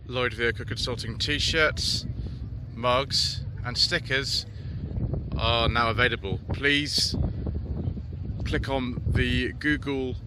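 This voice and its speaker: Man reviewing a car over loud road noise. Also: mid-range voice, steady tone, slow pace, pauses often. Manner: chatty, self-deprecating, explains specs with fillers. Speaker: car reviewer, channel host. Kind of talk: casual driving review to camera. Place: inside a car on a test track. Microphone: camera mounted in the car. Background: engine and road noise.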